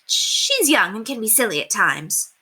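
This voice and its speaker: A woman reads as a young governess from a storybook, earnest and well-mannered. Her voice is bright and lively in pitch, with a modest, self-conscious politeness. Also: unhurried, slightly rough, exaggerated voice.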